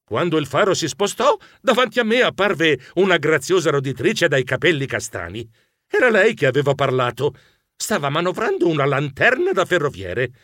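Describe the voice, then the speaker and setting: Bashful, fretful gentleman mouse narrator, children's audiobook. Fairly high pitch, animated swings, close dry sound. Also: moderate pace, no echo. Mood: bashful storytelling. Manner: expressive, fretful, easily embarrassed. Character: anxious, bashful gentlemanly male mouse, telling his adventure.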